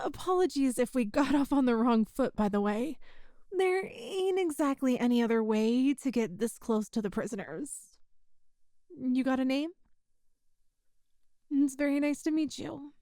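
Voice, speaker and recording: A woman playing a kind, tough young rebel rescuer in an ASMR roleplay, with a folksy, hushed way of talking. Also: high voice, no echo, clean studio sound, full character voice. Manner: folksy, reassuring, hushed, uses "ain't".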